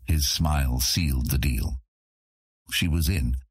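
A man's voice: a bass voice, slow pace, slightly rough, no echo, clean studio sound. A man narrating scripted fantasy fiction as an audiobook narrator.

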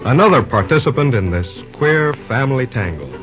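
A man's voice: mid-range voice, animated, fairly quick, soft background music. A man announcing an old-time radio serial in scripted narration. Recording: dull old radio recording.

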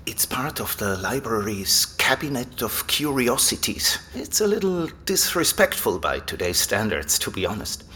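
A man's voice: medium pitch, big pitch swings, normal speed, few pauses, pushed and bright, husky, full character voice. A man acting in an audio drama as an erudite, enthusiastic abbey librarian, explaining things like an eager scholar. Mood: friendly guiding. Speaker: library guide and manuscript expert.

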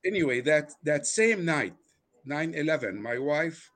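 A man's voice: on the high side, lively intonation, a little slow, fairly bright tone. An older man from Lebanon whose first language is Arabic, speaking English with an Arabic accent, telling a personal story with many fillers and restarts. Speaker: guest.

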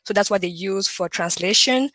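Cameroonian woman, 30s, French-accented English, lively guest, dry recording. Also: medium pitch, normal speed, no echo. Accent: French-speaking Cameroonian. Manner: animated, laughs often, frequent fillers. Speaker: guest, computer vision researcher. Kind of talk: casual interview chat.

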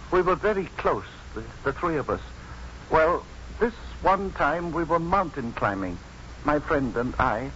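Mild, plain-spoken ex-convict, 1950s radio play. Halting delivery, higher register, light hiss. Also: male voice, a bit bright. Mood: earnest, halting. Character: slow, simple, mild-mannered male ex-convict, earnest storyteller. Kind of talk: 1950s radio drama acting. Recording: muffled, noisy 1950s radio broadcast.

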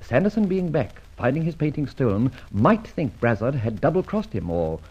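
A man playing a suave, wry and commanding gentleman adventurer in a vintage radio adventure serial. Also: medium pitch, sing-song, moderate pace, breathy edge. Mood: brisk reasoning. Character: suave, self-assured gentleman adventurer and amateur sleuth. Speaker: narrator and lead actor. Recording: dull old radio recording, music behind.

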